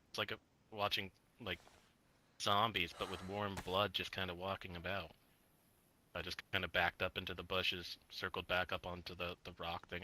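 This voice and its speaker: A man's voice: deep voice, sharp tone, poor audio. A man talking in character during a survival roleplay game, rambling and swearing as he trails off mid-thought. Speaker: roleplay player.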